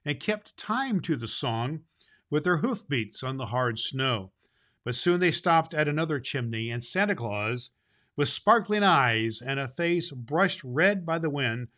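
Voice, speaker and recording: A man hosting and reading a Christmas storybook aloud to children in an expressive way. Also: medium pitch. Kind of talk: storybook read aloud with informal host talk.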